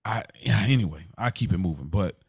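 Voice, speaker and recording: A man's voice: sits low, lively intonation, a little fast, vocal fry, breathy edge, no echo. American man reflecting aloud, dry close sound. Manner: reflective, repeats and rephrases as he explains. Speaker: podcast co-host. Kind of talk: casual podcast conversation.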